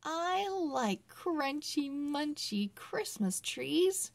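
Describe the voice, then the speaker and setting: A woman voicing an eager, wistful little girl in a children's Christmas storybook, slow, sing-song and emphatic. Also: sits high, lively intonation, vocal fry, voice pushed up, full character voice. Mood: delighted.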